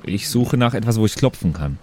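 A man's voice: fairly low pitch, animated, a little fast, dark tone, a little hiss or hum. A man talking casually as a player in an improvised tabletop role-playing game.

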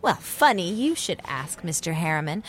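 A woman's voice: lowish voice, big pitch swings, normal speed, a little hiss or hum. A woman playing a clever, confident young girl detective in an old-time radio mystery.

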